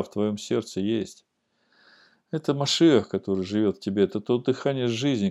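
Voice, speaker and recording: A man giving a sermon-like scripture lesson, explaining and reading aloud in a deliberate, didactic way that stresses key words. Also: on the low side, mellow.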